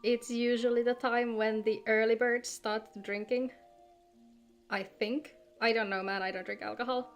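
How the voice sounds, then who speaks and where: Female game streamer, higher-pitched voice, chatty and informal, music underneath. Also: little pitch movement, moderate pace. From Finland. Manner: chatty, greets viewers by name. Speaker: game livestreamer. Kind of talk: casual livestream chat with viewers.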